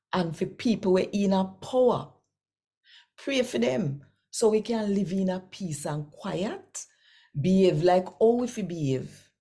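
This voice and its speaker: A woman with a Jamaican accent reads scripture conversationally as host, speaking deliberately and directly to her listeners. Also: mid-range voice, warm tone, slightly rough.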